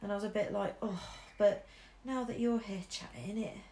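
A woman's voice: medium pitch, normal speed, warm tone, roomy sound. A young woman from Shropshire with a mixed English Midlands accent, chatting casually with many ums while she draws at home. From Shropshire, England. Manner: chatty, many ums and restarts, laughs easily. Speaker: artist streamer. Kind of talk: casual chat while drawing. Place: living room at home, indoors. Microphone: camera over the shoulder.

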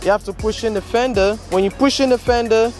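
A man's voice: high voice, fairly even intonation, brisk, soft background music. A young man in his twenties vlogging from an outdoor car auction lot with a handheld camera, giving casual hustle advice and calling people "man" and "bro". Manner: preachy hustle talk, repeats "man" and "bro". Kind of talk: casual vlog walkthrough. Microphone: handheld camera at arm's length.

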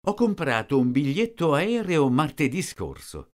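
A man slowly reading out short sentences, each one twice, for a language lesson. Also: fairly high pitch, big pitch swings. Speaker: language teacher. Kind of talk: slow repeated phrase reading.

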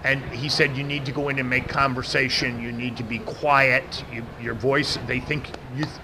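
A man's voice: medium pitch, level tone, normal speed. Man, American accent, middle-aged, big voice, handheld mic, outdoor noise. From United States. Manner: says he has a big voice, restarts often. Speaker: interview guest, event professional. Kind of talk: casual interview answers. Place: outdoor event media wall. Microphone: handheld mic held by interviewer. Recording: outdoor traffic noise behind.

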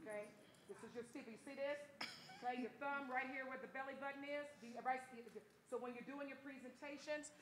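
A middle-aged woman facilitating a live seminar on a conference stage, speaking into a handheld mic with a lively, direct coaching manner. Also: high-pitched, fairly quick, noisy background. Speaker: workshop facilitator. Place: large conference hall stage.

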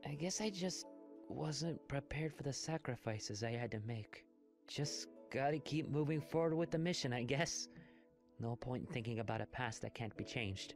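Game ally, male actor: reflective, kindly alien commander, medium pitch. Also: expressive, normal speed, over music, lightly acted. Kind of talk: video game cutscene acting.

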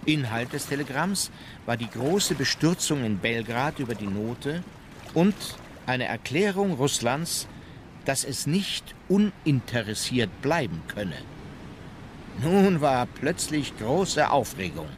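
Formal officer narrator, mid-pitched, factual diary style, radio drama, noisy outdoor background. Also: male voice, sing-song, slow. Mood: sober, factual. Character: sober male naval officer narrating his diary.

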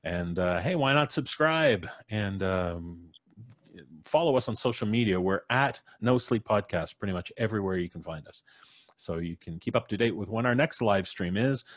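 A man's voice: sits low, no room sound. A middle-aged man casually hosting a live stream, speaking into a studio microphone. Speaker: podcast host. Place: home recording booth on a video call. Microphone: studio mic on boom arm.

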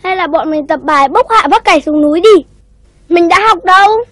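A woman voicing an excitable, bossy, boastful young boy in a cartoon, talking emphatically. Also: very high voice, brisk, no room sound, studio quality. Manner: emphatic, exclamatory. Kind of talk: Vietnamese cartoon voice acting.

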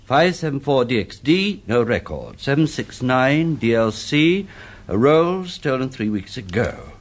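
A man with an English Received Pronunciation accent acts a brisk, businesslike senior police chief in a radio drama. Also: medium pitch, very animated. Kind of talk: British radio detective drama acting.

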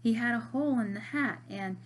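A woman's voice: fairly high pitch, brisk, a little hiss or hum, natural voice. A woman reading aloud, voicing a worried, skeptical schoolboy who wants facts, in an earnest way.

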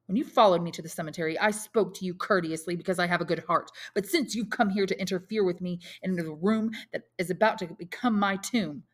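A woman's voice: lowish voice, fairly bright tone. Woman acting an impassioned, despairing young man. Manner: impassioned, declamatory outbursts. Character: passionate, despairing young man, defiant and wounded.

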